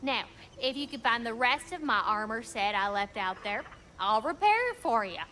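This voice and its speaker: A cheeky female dwarf smith in a video game. Her voice is high and bright, bouncing expressively. She talks in a playful, colloquial way, flirty and sassy, over faint ambience. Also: expressive, normal speed, a little hiss or hum. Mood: cheerful, sly. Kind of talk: animated video game acting.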